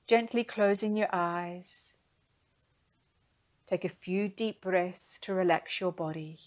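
A woman leading a guided meditation in a gentle, soothing, unhurried manner, speaking in soft phrases. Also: lowish voice.

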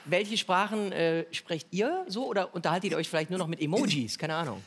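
A man's voice: sits high, animated, brisk, a bit bright, in a large hall. A middle-aged man with a Cologne accent, delivering scripted comedy moderation in a TV studio. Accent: Kölsch. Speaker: host. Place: TV studio with audience.

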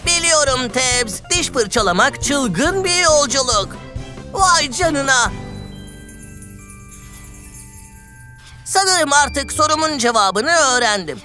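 A woman's voice: sits high, animated, takes it slowly, pushed and bright, faint music behind, full character voice. A woman playing a cheerful, curious young male explorer in a children's cartoon, enthusiastic and full of questions. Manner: enthusiastic, exclamatory, inquisitive.

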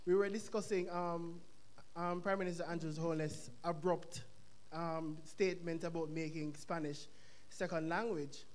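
High-voiced young Jamaican man asking a question. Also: moderate pace. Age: young adult. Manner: animated storytelling, quoting others. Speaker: audience member asking a question. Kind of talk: conference question from the floor. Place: tiered lecture hall. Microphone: stand microphone in audience.

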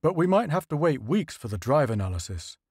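A man's voice: on the high side, big pitch swings, a little fast, a little mellow, breathy edge, no room sound, clean studio sound. A man voicing a bold, plainspoken male spaceship commander in a story reading. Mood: wry.

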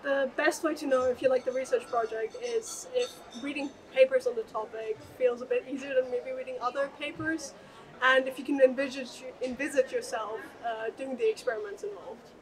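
A woman's voice: high voice, fairly even intonation, a little fast, recorded from a distance, poor audio. A young woman from Austria, a PhD student, answering questions thoughtfully with small fillers and restarts. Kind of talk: answering interview questions to camera. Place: large open atrium, indoors.

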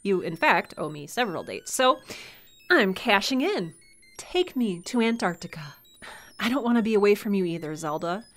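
A woman playing a warm, unflappable CIA officer in an audio drama, breezy and assured. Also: mid-range voice, big pitch swings, normal speed, lightly acted. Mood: determined, affectionate.

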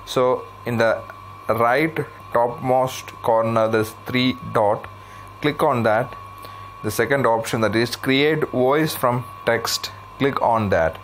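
A man narrates an app tutorial at a slow, unhurried pace. His pitch is average and the delivery is unscripted, with faint noise behind the voice. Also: fairly bright tone. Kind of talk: unscripted tech tutorial voice-over.

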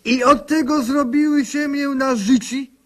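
A meek, high-voiced office clerk. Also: male voice, fairly even intonation, measured pace. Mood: plaintive. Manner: humble, deferential, plaintive. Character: meek put-upon male office clerk patient. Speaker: patient. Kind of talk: radio comedy sketch acting.

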